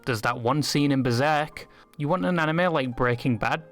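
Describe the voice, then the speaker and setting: A man's voice: a little high, moderate pace, fairly bright tone. A man reading scripted comedic video-essay narration in a sardonic, crude way. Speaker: narrator of an anime commentary video. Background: music behind the voice.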